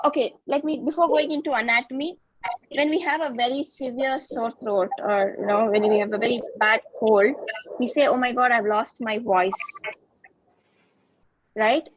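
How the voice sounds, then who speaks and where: High-voiced woman lecturing over a video call. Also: dry recording, low-quality recording. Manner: patient, checks understanding with frequent "okay" and questions. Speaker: guest lecturer in phonetics.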